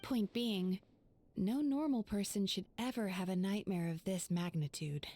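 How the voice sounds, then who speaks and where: A woman's voice: medium pitch, measured pace, warm tone. A woman playing a composed, caring young female dream therapist in a video game, articulate and explanatory. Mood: grave, concerned. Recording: music behind.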